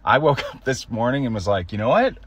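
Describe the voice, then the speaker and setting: A man in his thirties rambling casually and wearily into a phone in a car, with hesitant pauses. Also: medium pitch, sing-song, husky. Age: 30s. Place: inside a parked car. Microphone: phone at arm's length.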